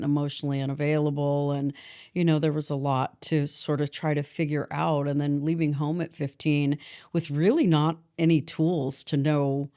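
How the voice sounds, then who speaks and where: A woman in her forties speaks as a podcast guest. Her voice is low and smooth, and she pauses to reflect, restarts her sentences and uses fillers as she tells her story. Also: warm tone. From Boston, grew up in San Diego. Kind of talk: personal story in a podcast interview.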